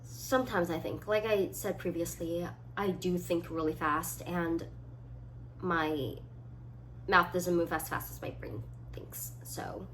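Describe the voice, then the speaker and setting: A woman of about twenty chatting casually as a solo vlogger, reading items aloud and thinking through short, halting answers. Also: on the low side, fairly bright tone, poor audio. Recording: clearly audible background noise.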